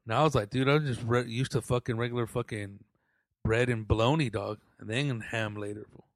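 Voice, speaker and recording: Man, quick-talking, slangy, profane, easygoing podcast co-host, dry close recording. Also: medium pitch, no room sound. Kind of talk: casual podcast banter.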